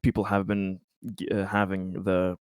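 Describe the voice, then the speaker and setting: A man playing a tabletop roleplaying game, casually describing his character's actions with halting, repeated words. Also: low voice, completely flat delivery, smooth, dry recording, clean studio sound.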